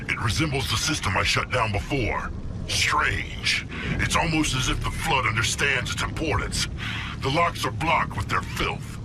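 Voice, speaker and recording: An actor playing an aggressive, boastful alien Brute commander in a video game, with a commanding manner. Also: male voice, medium pitch, expressive, moderate pace, over music, close to an everyday voice. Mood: wary, determined. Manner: boastful, battle-hungry, commanding. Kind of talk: dramatic video game acting.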